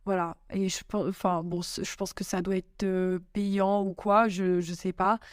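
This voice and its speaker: Young French woman, smooth and hesitant. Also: mid-range voice, flat delivery, a little slow, dry recording, clean studio sound. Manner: hesitant, many fillers and restarts. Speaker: guest. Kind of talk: casual interview answers. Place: home in Italy.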